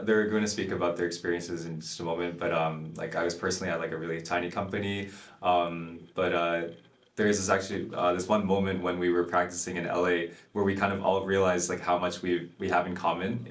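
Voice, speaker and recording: A young man who sings in a pop group, answering interview questions casually, with a lot of halting "um"s and "like"s. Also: low voice, flat delivery, roomy sound. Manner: halting, many fillers and restarts.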